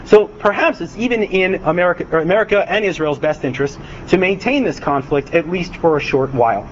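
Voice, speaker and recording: A man's voice: fairly high pitch, a little fast. A young adult man giving a lecture as an author, speaking with frequent hesitations and restarts. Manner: hesitant, many uhs and restarts. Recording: dull lo-fi recording, faint noise.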